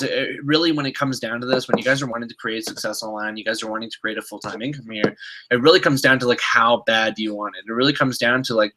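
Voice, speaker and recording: A man's voice: mid-range voice, pushed and bright, a little hiss or hum, rough recording. A man with a stuffed-up, slightly nasal voice, giving a motivational coaching talk on a video call and often restarting his sentences. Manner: repetitive, restarting, pep-talk tone. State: stuffed-up nose. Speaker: online marketing coach.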